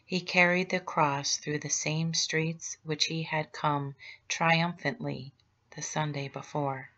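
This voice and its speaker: A woman speaking as a gentle, grieving mother of Jesus in a tender, slow and solemn devotional meditation. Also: low-pitched, steady tone, highs cut off, heavily acted. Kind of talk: devotional meditation read aloud.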